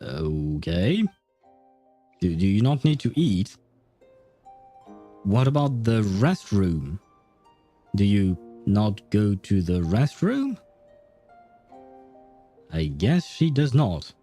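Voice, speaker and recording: A man's voice: on the low side, sing-song, takes it slowly, pauses often, warm tone, soft background music. A man livestreaming a game, chatting casually in a hesitant, rambling way with chuckles and casual swearing.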